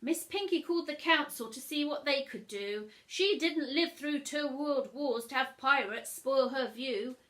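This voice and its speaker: A woman's voice: sits high, a little slow, few pauses, fairly bright tone. A woman voicing a snobbish, fussy female neighbour from a storybook, indignant and scandalised.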